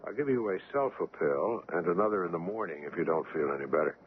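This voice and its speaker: An actor playing a calm, reassuring ship's doctor in an old-time radio drama, speaking in a measured, courteous way. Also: male voice, low voice. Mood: reassuring, professional. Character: calm, reassuring male ship's doctor recounting his story. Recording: tinny old radio broadcast, faint noise.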